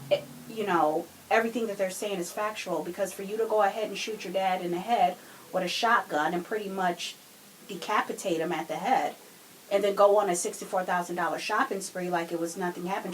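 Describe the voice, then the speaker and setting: A woman co-hosting a casual true crime discussion, giving her opinions in a reflective, measured way. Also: medium pitch, fairly bright tone, slight background noise, poor audio. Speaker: podcast co-host.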